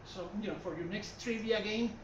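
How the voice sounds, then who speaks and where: Man, Puerto Rican Spanish accent, casual gallery lecture, rambling with fillers, distant mic, boomy room, muffled and noisy recording. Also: brisk. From Puerto Rico. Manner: rambling, self-correcting, with many fillers. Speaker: art history presenter. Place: art gallery hall with an audience. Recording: far-off echoey room mic, dull and noisy.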